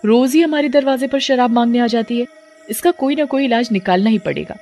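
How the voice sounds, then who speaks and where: A woman's voice: a little high, brisk, darkish tone, soft background music, voice pushed up, close to an everyday voice. A woman voicing a farmer's dutiful, agreeable wife in a cartoon story, speaking politely and earnestly. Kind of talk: cartoon moral story acting.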